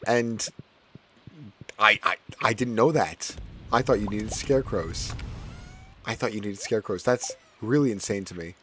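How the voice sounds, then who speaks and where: A man with a hoarse, cracking voice chatting casually while playing a farming game, often repeating his own phrases. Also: fairly low pitch, unhurried, pauses often, a bit bright, a little hiss or hum. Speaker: gaming streamer. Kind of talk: casual gameplay commentary.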